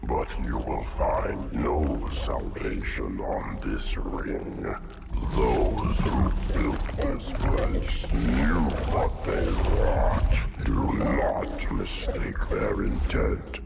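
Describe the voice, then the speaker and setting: An actor playing an ancient, all-knowing monstrous parasite in a video game, with a guttural, growling voice and ominous verse-like oratory. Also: male voice, very deep voice, very animated, very slow, creaky, heavy background noise, heavily acted. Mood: grave, prophetic. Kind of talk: video game cutscene acting. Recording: loud music behind the voice.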